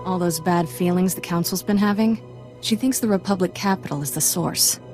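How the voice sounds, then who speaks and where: A cheeky young female Jedi apprentice played by a woman in a sci-fi game, with a slightly low voice. She is casual, flippant and teasing, with faint music behind her. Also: moderate pace, a little mellow, natural voice. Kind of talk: video game voice acting.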